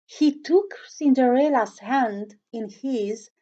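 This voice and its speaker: A woman's voice: sits high, slow, dark tone, dull audio. A woman reading a children's storybook aloud, expressively, chatting to young listeners with questions and asides.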